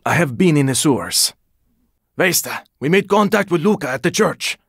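An actor playing a gruff, battle-worn survivor in a zombie video game, giving terse, intense callouts in a gravelly, raspy voice. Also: male voice, on the high side, expressive, moderate pace, no echo, clean studio sound, full character voice. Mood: grim, low. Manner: terse, intense callouts, often strained or shouted. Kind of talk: video game combat voice lines.

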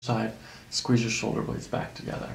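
Guy chiropractor talking a patient thru it, soothing, super gravelly, bit of room noise. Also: fairly low pitch, completely flat delivery, normal speed. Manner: soothing, reassuring short instructions. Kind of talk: hands-on patient coaching during treatment, ASMR. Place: small carpeted treatment office, indoors. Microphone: microphone on boom stand nearby.

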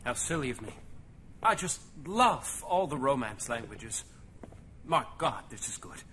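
An actor playing an eccentric, decadent, pompous politician in a video game cutscene, theatrical and effusive in manner. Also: male voice, mid-range voice, sing-song, a little slow, pushed and bright, a little hiss or hum. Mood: gushing, smug. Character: eccentric, decadent, pompous male politician relishing grotesque delicacies.

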